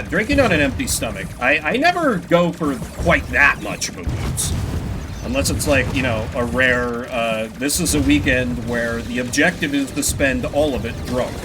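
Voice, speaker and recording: A man's voice: mid-range voice, background noise. A man doing casual live gaming commentary, chatting with viewers in a rambling, self-deprecating, sweary way. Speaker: video game streamer. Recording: music behind the voice. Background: video game music and sound effects.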